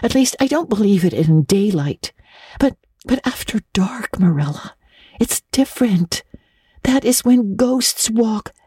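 An imaginative young girl from a classic storybook, pitched high and swinging widely. She is excitable and easily frightened, speaking with breathless, dramatic earnestness in a soft-edged tone. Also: a little slow, husky, dry recording, clean studio sound. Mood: hushed, faltering.

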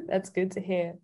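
A woman's voice: mid-range voice, warm tone, slightly rough. A woman from Italy chatting casually and a little giggly as an interview guest, feeling her way through her phrases. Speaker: interview guest, singer-songwriter.

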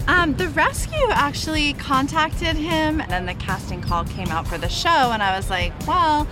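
A woman's voice: high voice, lively intonation, normal speed, music in the background. A woman chatting casually in an interview about her dog. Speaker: dog owner and singer interviewed.

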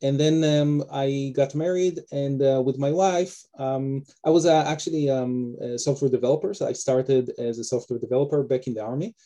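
A man from Israel with an Israeli accent, a guest author talking casually on a video call from his home office, with many pauses and fillers. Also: mid-range voice, warm tone. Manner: hesitant, many fillers and restarts. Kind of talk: casual interview talk.

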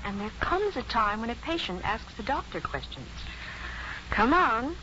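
A woman's voice: on the high side, sing-song, unhurried, bright, forceful tone, heavily acted. An actress playing an earnest, headstrong young woman in love, pressing and emotional, in a muffled 1950s radio drama. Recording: muffled 1950s radio recording, faint noise.

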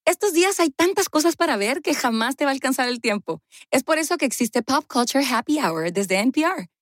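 A woman's voice: sits high, expressive, brisk, dry recording, clean studio sound. A woman reading a promotional spot for a podcast in an upbeat promotional manner. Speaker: promo announcer. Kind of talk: scripted promo read.

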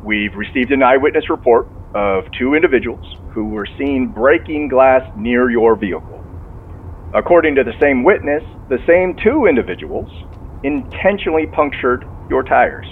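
A man's voice: medium pitch, animated, moderate pace. A man playing a genial sheriff's officer heard over the phone, folksy and courteous as he methodically probes, in audio drama dialogue. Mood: informative. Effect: phone line filter. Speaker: law enforcement officer. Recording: tinny phone line, car noise.

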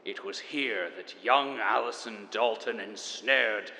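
An actor playing a grandiose, theatrical chronicler enduring after the apocalypse, pompous and melodramatic in an audio drama monologue. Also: male voice, on the low side, takes it slowly, creaky, sharp tone, in a large hall, a little hiss or hum, heavily acted, rough recording. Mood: wistful, grandiose. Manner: pompous, melodramatic, savoring each word.

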